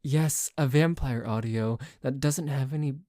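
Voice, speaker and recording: A man's voice: mid-range voice, a little slow, warm tone, no room sound, studio quality. A man who hosts an audio channel, chatting casually and informally to his listeners in a lightly self-mocking way. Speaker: voice actor, channel host. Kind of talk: casual outro chat to listeners.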